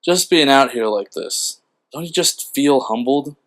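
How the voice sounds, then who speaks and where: A man's voice: mid-range voice, expressive, unhurried, a bit bright. A man playing a dreamy, philosophical teenage boy in an audio drama. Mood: reflective.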